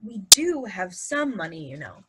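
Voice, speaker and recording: A woman playing a supportive, dryly humorous wife with a husky voice in a table read. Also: medium pitch, very animated, unhurried, very noisy. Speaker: actor in a virtual table read. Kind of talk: stage comedy-drama table read.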